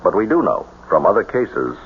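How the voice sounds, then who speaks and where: An actor playing a stern, guarded army colonel in a radio drama, speaking formally and gravely. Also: male voice, low voice, big pitch swings, normal speed. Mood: grave warning. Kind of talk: old-time radio drama acting. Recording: tinny, noisy old radio broadcast.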